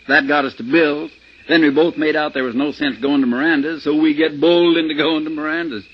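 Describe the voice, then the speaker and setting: An American man playing a steady, wry off-duty homicide detective in a 1950s radio drama, plain-spoken and folksy. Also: medium pitch, slight background noise, natural voice. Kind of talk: 1950s radio drama, first-person narration and dialogue. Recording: muffled 1950s radio recording, faint music.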